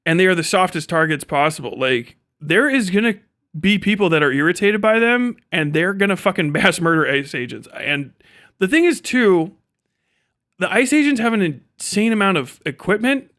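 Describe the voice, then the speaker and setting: Animated, high-pitched man on a livestream. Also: normal speed. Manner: profane, blunt, slangy; animated, acts out imagined dialogue. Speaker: livestream host. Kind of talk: casual unscripted livestream monologue.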